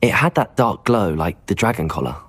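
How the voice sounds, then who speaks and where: An actor playing an earnest young wizard student with a breathy voice, polite and sincere, in a fantasy game. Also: male voice, fairly low pitch, very animated, fairly quick, fairly bright tone, exaggerated voice. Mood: urgent.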